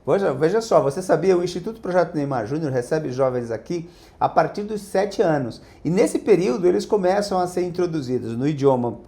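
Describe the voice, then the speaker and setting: Upbeat male podcast host, fairly high. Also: animated, brisk, warm tone. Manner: upbeat, addresses the audience directly. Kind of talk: enthusiastic interview hosting.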